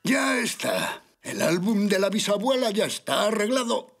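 A man's voice: high-pitched, moderate pace, soft background music, full character voice. Elderly cartoon grandfather, kindly, wildly varied intonation, encouraging. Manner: expressive, encouraging. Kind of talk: Spanish children's cartoon dubbing.

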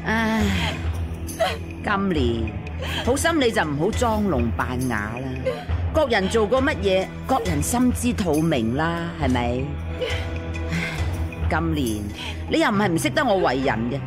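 Radio drama crafty, worldly old woman matchmaker: low-pitched, very animated, ingratiating. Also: a little slow, over music. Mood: blunt, knowing. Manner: ingratiating, knowing, colloquial. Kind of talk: Cantonese radio drama acting.

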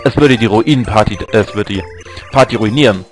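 A man voicing an excitable old male turtle explorer from a video game, blustering and flustered, with a raised voice. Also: fairly low pitch, fairly quick, soft background music, lightly acted. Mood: bossy.

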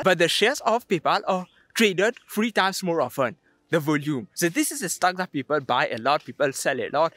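Young guy doing an explainer to camera, bit high voice, emphatic, no echo at all. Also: pushed and bright. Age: young adult. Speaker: investing video presenter. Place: outdoors on a sandy beach.

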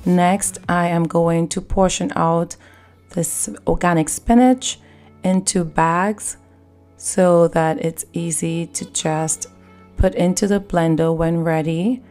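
A woman hosting a cooking video, giving calm step-by-step recipe instructions in voice-over. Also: on the low side, takes it slowly, faint music behind. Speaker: cooking channel host.

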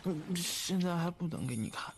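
A man playing a tipsy, drowsy young man in an audio drama, childlike and clingy, with drawn-out, insistent phrasing. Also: sits high, animated, a little hiss or hum. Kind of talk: Chinese audio drama acting.

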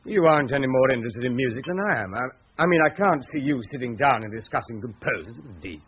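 A man's voice: medium pitch, animated, fast talker, a little hiss or hum, natural voice. A man acting in a radio drama as a defensive, sceptical writer with a hesitant, prickly manner. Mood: defensive, irritated. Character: defensive, sceptical male writer researching the supernatural. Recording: dull, muffled radio drama recording.

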